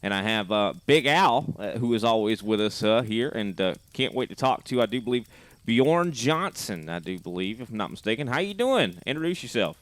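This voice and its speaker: A man's voice: lowish voice, very animated, moderate pace, no room sound. A man hosting a podcast, asking rambling questions full of fillers in a casual tone. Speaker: podcast host.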